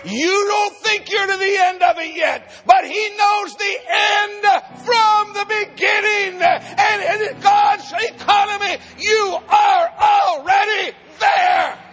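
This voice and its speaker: A man's voice: very high voice, fairly even intonation, takes it slowly, few pauses, a faint crowd. A man in his sixties preaching a live sermon as pastor, with rhythmic repeated phrases. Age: 60s. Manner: rhythmic repeated phrases, swells into chanted shouting. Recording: long hall reverb, faint music behind.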